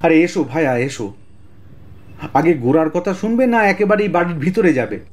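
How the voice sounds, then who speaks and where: High-pitched, excitable police detective. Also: male voice, normal speed. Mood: welcoming. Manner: blustery, hesitant stammers and repeated words. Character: excitable, talkative middle-aged male police detective. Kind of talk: Bengali audio story acting.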